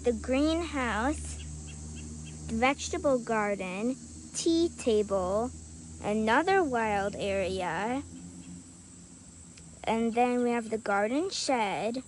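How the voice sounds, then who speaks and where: A female co-host with a high voice chatting casually and reading a children's storybook aloud in a deliberate way. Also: animated. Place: outdoors by a marshy trail. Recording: outdoor recording with clear insect and ambient noise.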